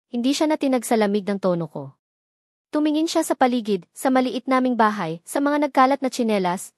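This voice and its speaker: A woman's voice: mid-range voice, no room sound, clean studio sound. A woman reading a scripted, dramatic first-person story. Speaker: narrator.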